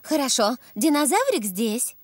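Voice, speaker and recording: A woman's voice: sits high, big pitch swings, a little slow, no echo, exaggerated voice. A woman playing a caring, cautioning mother pig in a children's cartoon dub. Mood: gentle. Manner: motherly, clear explaining. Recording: clear noise behind the voice.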